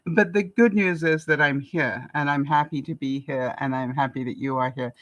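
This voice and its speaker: An older woman from Canada with a stuffed-up nose, hosting a casual live-stream chat and often pausing with ums and small laughs. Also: a bass voice, dry recording, muffled recording. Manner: chatty, with frequent ums and soft laughs. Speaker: vlogger hosting a live broadcast.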